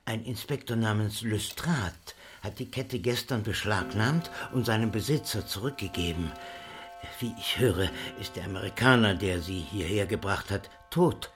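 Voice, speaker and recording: An actor playing an oily, sardonic pawnbroker in a radio drama, very raspy, smarmy and mock-polite. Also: male voice, fairly low pitch, moderate pace, fairly bright tone, soft background music, lightly acted. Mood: mock-polite, sly. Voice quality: very raspy, husky. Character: oily, sardonic male London pawnbroker, mock-courteous and callous.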